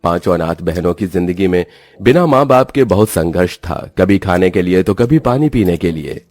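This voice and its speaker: Low-voiced man narrating with lively swings. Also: normal speed, no echo. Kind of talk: scripted children's story narration.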